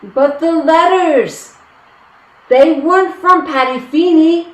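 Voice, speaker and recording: A woman playing an anxious, protective Irish village woman, pleading deliberately in a stage play reading. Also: high-pitched. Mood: worried. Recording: roomy, echoing stage-reading sound.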